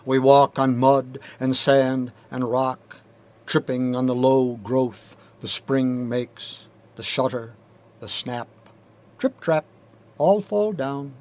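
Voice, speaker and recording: A man reading his own poetry aloud in a deliberate, rhythmic, dramatic spoken-word style, recorded in a studio. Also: medium pitch, a little mellow. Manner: deliberate, measured, rhythmic delivery with pauses. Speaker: poet reading own work.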